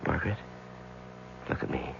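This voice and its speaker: A man acting in an old-time radio drama as a troubled lawyer and husband, tender and tormented, speaking haltingly and earnestly. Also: fairly bright tone, slight background noise, natural voice. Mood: tender, anxious. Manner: halting, earnest, repeats words. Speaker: radio drama lead and narrator. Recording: dull lo-fi old radio broadcast.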